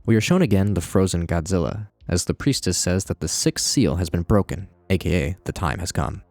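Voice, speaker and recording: A man's voice: sits low, a little fast, creaky, darkish tone, no room sound. A man hosting a video essay, narrating in a conversational commentary style with wry asides.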